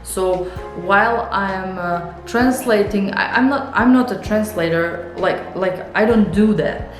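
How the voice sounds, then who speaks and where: A young woman with a Serbian accent, a Serbian native speaker, rambling and thinking out loud in a casual studio vlog, with many fillers and restarts. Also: mid-range voice, unhurried, reverberant, faint music behind, low-quality recording. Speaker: illustrator and author. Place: home art studio.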